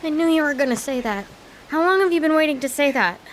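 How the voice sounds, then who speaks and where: An actress playing an exasperated grown daughter with dry comebacks in a comedic audio play. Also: female voice, high-pitched, expressive, fast talker, slight background noise.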